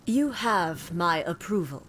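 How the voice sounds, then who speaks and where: A woman playing a solemn, stately warrior goddess in a video game, speaking formally and gravely in measured phrases. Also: on the low side, animated, fairly bright tone, full character voice. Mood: grave, resolute. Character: solemn, stately warrior goddess and her stern puppet double.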